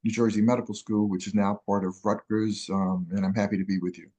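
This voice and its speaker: Low-voiced older man from Texas. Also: level tone, quick pace, mellow. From Beaumont, Texas. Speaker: retired pediatrician, panelist.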